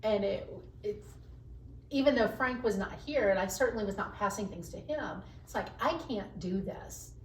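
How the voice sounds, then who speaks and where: A woman whose voice carries, telling animated, self-mocking stories about her working life. She sits at some distance from the mic, so the sound is roomy. A faint hiss stays under her voice. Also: mid-range voice, normal speed. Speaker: podcast guest, HR professional. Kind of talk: casual interview chat.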